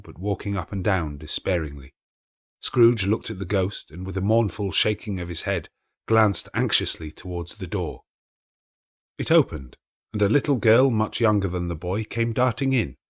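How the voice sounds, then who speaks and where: A man reading a classic novel aloud as an expressive storyteller. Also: on the low side, dry recording, studio quality. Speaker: audiobook reader.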